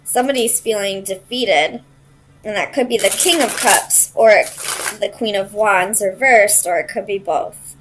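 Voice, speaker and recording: A woman's voice: mid-range voice, unhurried, slight background noise, poor audio. Woman giving an informal tarot reading. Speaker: tarot reader and host.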